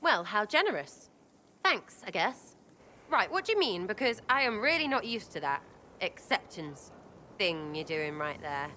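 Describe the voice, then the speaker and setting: A woman's voice: mid-range voice, sing-song, unhurried, bright, forceful tone, a little hiss or hum. An actress with an English accent playing a cheeky, blunt, hot-tempered young female rogue in a video game. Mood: wry. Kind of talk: video game cutscene acting.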